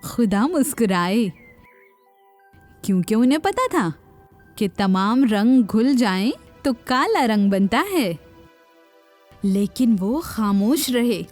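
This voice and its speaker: A woman's voice: fairly high pitch, animated, pauses often, a little mellow, faint music behind. A woman reading a scripted children's story expressively, speaking directly to young listeners.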